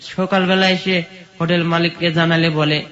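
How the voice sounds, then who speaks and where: High-pitched Bangladeshi man telling his story. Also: little pitch movement, normal speed, fairly bright tone. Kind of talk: personal storytelling on a radio show. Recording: dull, lo-fi audio.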